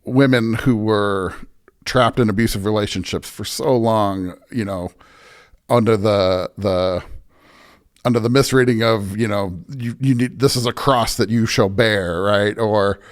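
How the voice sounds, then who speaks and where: A man's voice: lowish voice, a little slow. An American man talking as a pastor in a conversational interview, earnest and emphatic, often checking in with "right?" and "you know". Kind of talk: conversational podcast interview, explaining beliefs.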